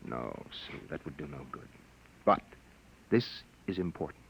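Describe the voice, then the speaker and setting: An actor playing a worried husband on the run through time, soothing and hushed, in a 1950 radio drama. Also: male voice, rumbling low, expressive, a little slow, gravelly, slightly rough, full character voice. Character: worried time-traveling husband, adult man. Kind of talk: 1950s radio drama acting. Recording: dull lo-fi 1950 radio broadcast with music.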